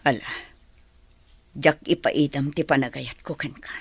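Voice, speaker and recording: Actress playing guarded single mother, husky, dry close sound, remorseful, radio drama. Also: a bass voice, steady tone, a little slow, no room sound, exaggerated voice. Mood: hesitant, tender. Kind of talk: Ilocano radio drama acting.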